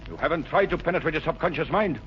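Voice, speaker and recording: An actor playing an imperious, short-tempered foreign agent in a vintage radio thriller, sneering and barking commands. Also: male voice, on the high side, expressive, fast, soft background music, lightly acted. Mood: demanding. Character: ruthless male foreign agent, domineering villain with short temper. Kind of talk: 1940s radio thriller acting. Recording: dull, noisy vintage radio recording.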